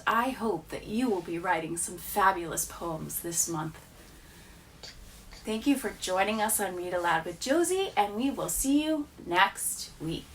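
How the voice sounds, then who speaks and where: A woman teacher reads poetry aloud for young listeners. Her delivery is expressive and unhurried, with a medium pitch. She sounds set back somewhat from the microphone. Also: far from the mic, slight background noise. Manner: deliberate, expressive storytelling delivery. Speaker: teacher reading poems aloud to schoolchildren. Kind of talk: expressive read-aloud of children's poems.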